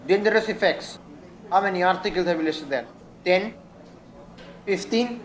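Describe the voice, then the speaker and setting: A man's voice: sits high, moderate pace, natural voice, low-quality recording. Young man playing a stern, probing lawyer. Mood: pressing. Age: teenager. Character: stern, probing male lawyer coaching his client. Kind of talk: stage-style radio drama acting.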